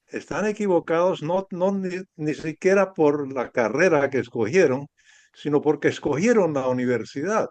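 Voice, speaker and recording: A man from the audience asking a question in a measured, thoughtful way. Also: on the high side, dry recording.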